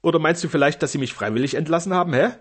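A man doing a blunt, mocking brigand, breathy. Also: a little high, very fast. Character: gruff scheming male brigand on the run.

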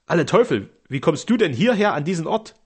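A male narrator voicing Juanito, a sly, loyal highway robber, telling his story boastfully and eagerly in a breathy voice. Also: very animated, brisk, darkish tone.

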